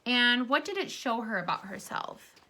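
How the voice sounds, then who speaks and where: A woman's voice: on the high side, big pitch swings, moderate pace, pushed and bright. Woman teacher reading aloud to children. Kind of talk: children's read-aloud with questions to listeners.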